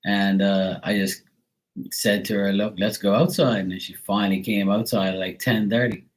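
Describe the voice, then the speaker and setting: Man from Bermuda, stream host, loose chatty rambler, a touch low in pitch. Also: steady tone, normal speed. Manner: rambling, chatty storytelling with frequent fillers. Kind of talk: casual chat.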